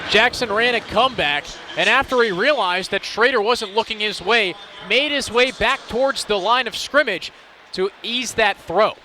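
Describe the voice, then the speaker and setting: A man's voice: sits high, normal speed, sharp tone, some chatter behind, slight background noise. A young man giving live radio football analysis, explaining and recapping plays in descriptive phrases. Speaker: color analyst.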